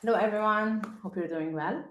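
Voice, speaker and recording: A woman's voice: mid-range voice, normal speed, a bit bright, slight background noise. Lebanese woman in an echoey room. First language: Arabic. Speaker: panelist, tech programme lead. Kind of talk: self-introduction on a student panel. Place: indoor room, online video call. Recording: roomy, echoey call audio.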